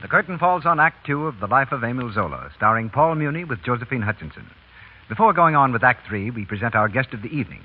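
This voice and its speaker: An American man announcing and pitching in 1930s radio commercial style, with emphatic salesman phrasing. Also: expressive, fast. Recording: scratchy narrowband 1930s radio broadcast.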